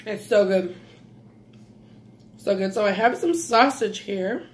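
Belizean lady in her 30s doing a mukbang, talks real slow, lots of mm's between bites, small room at home. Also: mid-range voice, lively intonation. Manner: slow, savoring, with frequent mm's while eating. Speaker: mukbang vlogger. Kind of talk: casual eating vlog.

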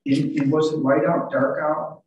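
An older man cross-examining a witness as a defense lawyer, halting and repeating words as he builds questions. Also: on the high side, fairly even intonation, a little mellow, muffled recording, a little hiss or hum. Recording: very echoey, distant video call audio.

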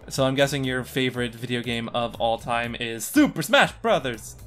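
A man's voice: medium pitch, lively intonation, moderate pace. A young man co-hosting a podcast in casual, joking chat with a playful, teasing, self-mocking manner. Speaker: podcast co-host. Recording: music behind the voice. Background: background music.

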